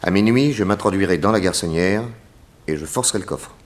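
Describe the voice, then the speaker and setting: An actor playing a confident, commanding detective in a 1960s French radio serial. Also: male voice, low-pitched, brisk, lightly acted. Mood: resolute. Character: confident, commanding detective hero planning his move.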